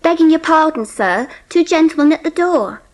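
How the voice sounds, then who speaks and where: A woman's voice: sits high, expressive, moderate pace. A woman playing a deferential young housemaid in an audio drama. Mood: anxious, polite.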